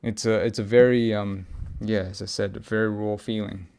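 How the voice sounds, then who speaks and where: A man recording a reflective personal audio log, speaking haltingly as he searches for words and often pauses mid-thought. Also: on the low side, flat delivery, vocal fry, a little mellow, smooth. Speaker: podcast host.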